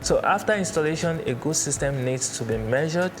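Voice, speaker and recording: A man presenting an explainer in a measured, didactic way, stressing his points. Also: a little high, lively intonation. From Nigeria. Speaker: presenter, church sound instructor. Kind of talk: explainer with everyday analogies. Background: background music.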